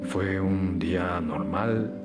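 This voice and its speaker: Polite defensive school director guy, formal, kinda low voice, loud music in back. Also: slow pace. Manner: courteous, formal, placating. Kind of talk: crime audio drama acting.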